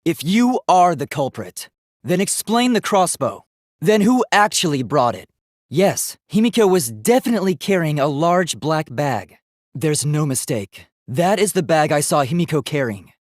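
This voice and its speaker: K1-B0, an earnest, lively-pitched young robot. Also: male voice, moderate pace, dry recording, clean studio sound. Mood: insistent, logical. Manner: formal, precise, articulate, earnest. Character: polite, earnest, logical young male robot. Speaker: video game character. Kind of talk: English video game voice acting.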